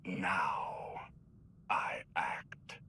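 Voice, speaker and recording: A man playing a menacing, power-hungry emperor in a video game cutscene, with a slow, brooding, deliberate delivery. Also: low-pitched, steady tone, sharp tone, whispered, exaggerated voice. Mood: cold, controlled menace.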